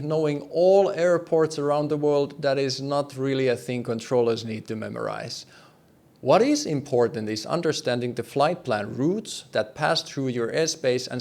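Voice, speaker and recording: A man's voice: medium pitch, gravelly, a little mellow. A man presenting a scripted explainer in a conversational, plain-spoken way, with emphasis and light wryness. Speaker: host, air traffic control explainer.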